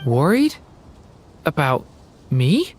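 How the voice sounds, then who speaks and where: An actor voicing a gentle, timid young dragon boy in a video game, speaking earnestly and hesitantly. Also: mid-range voice, huge pitch swings, very slow, slight background noise, exaggerated voice. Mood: timid, touched.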